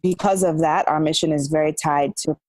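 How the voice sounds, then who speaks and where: Low-voiced woman explaining in earnest. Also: fairly even intonation, a little fast, darkish tone, dry recording, faint music behind, low-quality recording. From Nigeria. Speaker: interview guest. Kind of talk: conversational interview.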